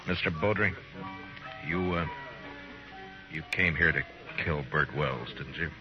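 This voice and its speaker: Very deep-voiced, stern Western marshal. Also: male voice, a little slow. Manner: terse, understated, authoritative. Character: weary, stern, terse male frontier marshal. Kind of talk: 1950s Western radio acting. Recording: muffled old radio recording, music bleed.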